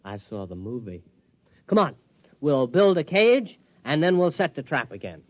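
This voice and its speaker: An actor playing an excitable, naive vacationing hunter with an earnest comic manner, in a dull-sounding 1950s radio comedy. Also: male voice, medium pitch, moderate pace, no room sound, lightly acted. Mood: earnest, whimsical. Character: excitable, naive, whimsical man on a hunting vacation. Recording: dull, dry vintage radio recording.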